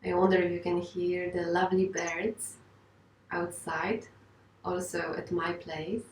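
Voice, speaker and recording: A woman teaching yoga and guided meditation, speaking in a gentle, unhurried, reassuring way. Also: lowish voice, level tone, slight background noise. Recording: roomy, distant microphone.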